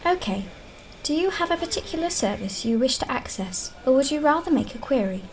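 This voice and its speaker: A woman's voice: high voice, expressive, a little fast. A woman voicing a polite, impassive computer interface in a science-fiction audio drama, with formal, emotionless delivery. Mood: polite, neutral.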